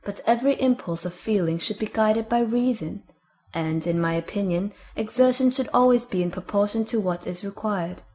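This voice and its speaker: A woman voicing a prim, moralising young sister, measured and sententious, in a dramatized audiobook. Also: medium pitch, darkish tone, natural voice. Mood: priggish.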